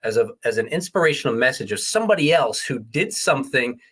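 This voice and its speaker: A man's voice: medium pitch, sing-song, quick pace, a bit bright, slightly rough. A male co-host recalling a book he read, speaking with enthusiasm in casual chat.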